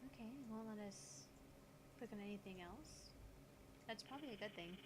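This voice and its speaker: A woman's voice: medium pitch, normal speed. A young woman chatting casually as co-host of a gaming show. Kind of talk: casual chat. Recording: clearly noisy background.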